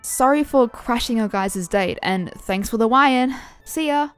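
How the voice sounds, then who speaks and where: A woman voicing an excitable, determined young female reporter in a fan fiction audio story, with an eager, animated delivery. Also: on the high side, measured pace, clean tone, soft background music, close to an everyday voice. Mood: urgent, breezy.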